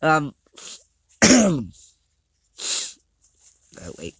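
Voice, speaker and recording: A young man with a somewhat high voice talks casually over slides in a dry, close recording. He sounds stuffed up and a little scratchy, and he stumbles through his points with fillers and restarts. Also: wildly swooping pitch, vocal fry, breathy edge, no room sound. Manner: halting, many fillers and restarts. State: congested, slightly scratchy voice. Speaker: vlogger.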